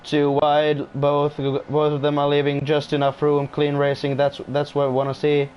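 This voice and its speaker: A man's voice: fairly high pitch, monotone, normal speed, darkish tone, slight background noise, poor audio. Hesitant non-native man commentating races. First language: not English. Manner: hesitant, restarts often, apologetic. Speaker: race commentator. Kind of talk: casual live sim-racing commentary.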